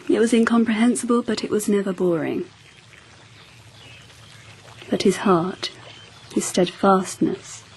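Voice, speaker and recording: Regal empress acted by a woman, radio drama, stately, measured, reflective, ambient noise behind. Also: medium pitch, a little mellow, lightly acted. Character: regal, reflective empress of 10th century Japan.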